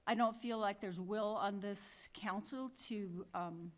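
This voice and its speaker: A woman's voice: medium pitch, slow. A woman chairing a town council meeting, speaking plainly and thinking aloud with frequent restarts.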